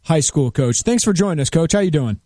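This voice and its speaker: A man's voice: a little high, expressive, brisk, dark tone, no echo, studio quality. Easygoing male sports radio co-host. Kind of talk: casual radio banter and weather read.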